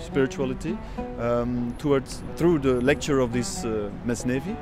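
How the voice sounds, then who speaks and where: A man's voice: mid-range voice, normal speed. A man in his thirties whose first language is Dutch, speaking thoughtfully in a documentary interview and searching for words as he goes. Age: 30s. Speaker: oud player. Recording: music playing behind.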